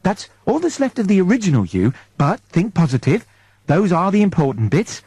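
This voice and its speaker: A man acts out a female nymph medic from a comic science-fiction audiobook, concerned and tactful. The delivery is careful and hesitant, with a mellow tone and a close, dry sound. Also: high-pitched, sing-song, normal speed, slightly rough, dry recording, pitched above the performer's own voice, heavily acted. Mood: gently evasive. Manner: hesitant, careful, matter-of-fact.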